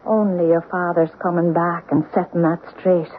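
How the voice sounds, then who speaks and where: An actress playing a weary, solemn countrywoman telling grim secrets in an old-time radio horror play, with grave, deliberate delivery. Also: lowish voice, little pitch movement, close to an everyday voice. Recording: noisy, muffled vintage radio recording.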